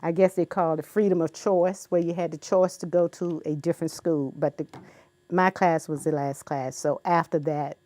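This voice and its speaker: A woman in her seventies from Virginia, a guest in an interview, speaking in an unhurried, reflective way with many ums and uhs. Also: low-pitched, fairly even intonation, mellow. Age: 70s. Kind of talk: recorded interview.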